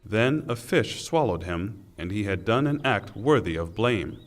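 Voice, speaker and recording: A man reading a scripture translation in a solemn, formal, deliberate way, with pauses. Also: lowish voice, animated. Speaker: translation reader. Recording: long hall reverb.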